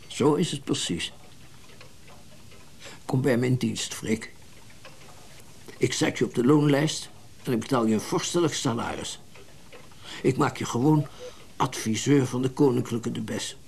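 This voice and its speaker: An actor playing a lonely, possessive company boss in a radio play, musing and intimate. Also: male voice, mid-range voice, a little slow, vocal fry, long pauses, a little mellow, slight background noise. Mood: persuasive, fond. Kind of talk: naturalistic Dutch radio drama acting.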